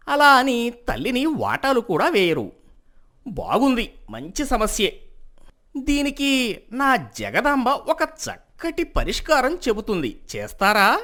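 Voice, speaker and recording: Lady doing a sharp-witted confident jester guy for a cartoon fable, declaiming with big lively pitch swings. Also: heavily acted. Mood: amused, assured. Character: witty confident male court jester, devout and clever. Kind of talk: animated moral story dialogue.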